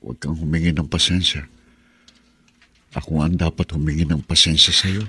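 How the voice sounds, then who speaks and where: An actor playing a middle-aged husband and father who can no longer walk, talking heavily and dejectedly with a very raspy voice. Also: extremely low pitch, steady tone, moderate pace, bright, forceful tone, exaggerated voice. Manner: heavy, dejected.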